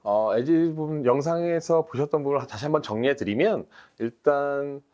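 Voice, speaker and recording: A man's voice: fairly high pitch, normal speed, darkish tone. Man explaining a workflow step by step. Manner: detailed step-by-step explaining, frequent fillers. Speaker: BIM design engineer. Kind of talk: webinar technical explanation.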